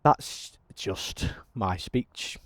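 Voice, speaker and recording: A man talking through a simulator game as a channel host, in a self-described monotone with frequent ums. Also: drawn-out words, no room sound. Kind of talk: casual gameplay commentary.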